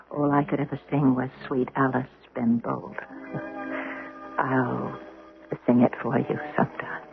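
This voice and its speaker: An actress playing a gentle, humble young model in a radio drama, hesitant and wistful. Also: female voice, extremely low pitch, flat delivery, music in the background, full character voice. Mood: weary, wistful. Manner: hesitant, apologetic, breathy pauses. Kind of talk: radio drama acting and first-person narration. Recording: noisy, narrow-band old radio recording.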